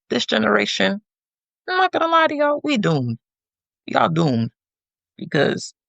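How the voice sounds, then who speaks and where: A young adult woman hosting a podcast, giving a sassy, animated monologue with teasing asides to her listeners. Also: on the low side, creaky, dry recording. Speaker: podcast host.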